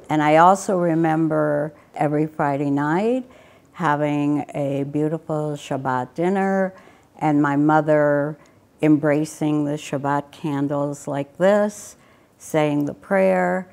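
A woman's voice: low voice, gravelly, a little mellow. A woman in her eighties recounting family memories in an interview. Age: 80s. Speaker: Holocaust survivor interviewee. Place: indoor studio.

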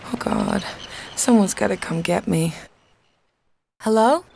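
A woman's voice: medium pitch, takes it slowly, creaky, a little mellow, a little hiss or hum. A woman voicing a young woman stranded on a dinosaur island, who talks to herself in a wry, frightened way. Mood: anxious. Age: young adult. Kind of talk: game dialogue and narration.

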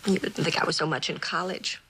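An actress playing a neglected but loyal wife in a mid-century television drama, earnest and wistful. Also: female voice, sits low, fairly even intonation, fairly quick, a bit bright. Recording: noisy old television soundtrack.

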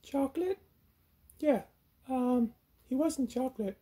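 A man voicing a silly, greedy, self-important furry puppet with a naive, boastful, excitable manner. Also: very high voice, slow pace, slightly rough, voice pushed up, heavily acted. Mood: gleeful. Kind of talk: puppet show banter.

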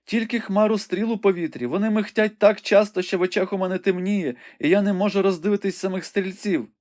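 A man's voice: sits high, steady tone, a little fast, a little mellow, pitched above the performer's own voice, close to an everyday voice. A man voicing a young woman who watches a battle from a window and cries out what she sees. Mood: urgent, fervent. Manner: breathless, exclamatory reporting. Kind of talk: audiobook reading.

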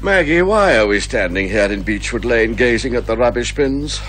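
An actor playing a fussy, sceptical sidekick in a radio detective comedy, questioning and incredulous. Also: male voice, medium pitch, lively intonation, moderate pace, a bit bright, a little hiss or hum, lightly acted. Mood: flustered, grumbling. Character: fussy, sceptical male sidekick, easily flustered. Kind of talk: 1980s radio comedy detective drama acting.

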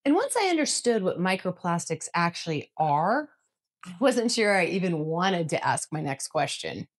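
A woman's voice: on the low side, big pitch swings, moderate pace, husky. A woman in her thirties giving an earnest, emphatic scripted explainer to camera from a room at home. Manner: earnest, emphatic, gestures with both hands. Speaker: outdoor vlogger. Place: home room with bookshelves, indoors.

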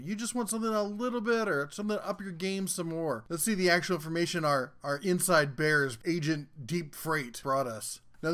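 A man's voice: sits high, a bit bright. A man hosting a tool review channel, giving a casual, folksy and jokey rundown of a new product as a voice-over.

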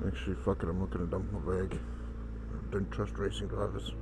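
A man's voice: low-pitched, level tone, brisk, creaky. Chatty profane man riding a motorcycle. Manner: chatty, profane, self-mocking. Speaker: motorcycle vlogger. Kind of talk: casual rambling travel vlog. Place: on a moving motorcycle outdoors. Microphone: helmet-mounted camera. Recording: loud wind and engine noise.

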